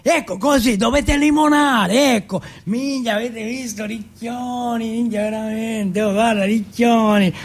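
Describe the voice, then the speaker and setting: A man's voice: extremely high pitch, fairly even intonation, measured pace, hardly any pauses, voice pushed up, full character voice. A man playing a big young jailhouse bully in a stage monologue, mocking and sadistic, coaxing and ordering with coarse, repeated commands. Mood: gloating, commanding. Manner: taunting, coaxing, repetitive commands, coarse swearing.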